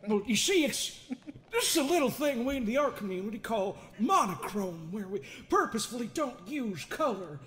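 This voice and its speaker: A man's voice: very high voice, sing-song, moderate pace, fairly bright tone, a little hiss or hum, heavily acted. A man voicing an unhinged, sardonic therapist with folksy menace, theatrical and mocking, in an improvised comedy dub of a horror game. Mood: mocking, irritated.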